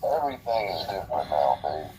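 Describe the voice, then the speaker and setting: A man's voice: sing-song, fast talker. A man in a casual, opinionated phone conversation, speaking emphatically in profane slang and repeating words to make his point. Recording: dull, noisy phone call audio with rumble.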